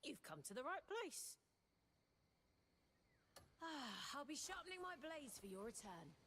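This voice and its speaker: A woman's voice: high voice, sing-song, measured pace, bright, forceful tone, a little hiss or hum, exaggerated voice. An actress voicing an eager, slightly menacing female butcher merchant in a video game, delivering pushy sales patter. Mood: salesy.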